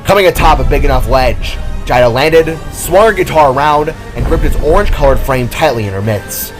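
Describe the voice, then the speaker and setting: A man's voice: mid-range voice, lively intonation, a little fast. A man reading scripted adventure fiction as the narrator, in a dramatic storytelling style. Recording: background music under the voice. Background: music.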